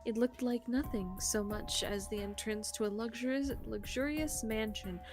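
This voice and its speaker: A woman's voice: fairly high pitch, moderate pace, music in the background. A woman co-hosting a visual novel stream, reading narration aloud with casual, sweary asides. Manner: informal, sweary.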